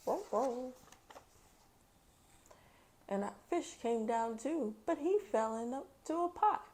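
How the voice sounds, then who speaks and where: A woman's voice: fairly high pitch, animated, slow, darkish tone. A woman reading a children's book aloud expressively, playful, with chatty casual asides. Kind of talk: animated storybook narration with casual asides.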